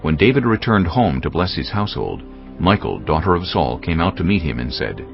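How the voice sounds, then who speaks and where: Guy narrating dramatized scripture, creaky voice, muffled audio, music behind. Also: low voice, very animated, a little fast. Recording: muffled, band-limited audio.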